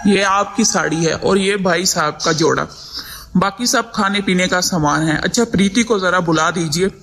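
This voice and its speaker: A woman playing an older mother of the family in an animated domestic story. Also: lowish voice, normal speed. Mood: courteous, motherly. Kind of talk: Hindi animated moral story dialogue.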